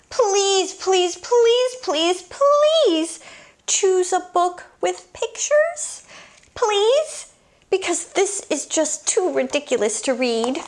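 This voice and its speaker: A woman hosting children's story time, reading a picture book aloud with playful, exaggerated, theatrical reactions. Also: very high voice. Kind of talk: animated picture book read-aloud for kids.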